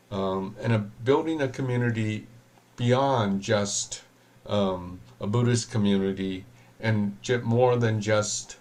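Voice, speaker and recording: A man, a reverend, giving a reflective, measured talk at an online panel, pausing often. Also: lowish voice, darkish tone. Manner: measured, reflective, with pauses and fillers.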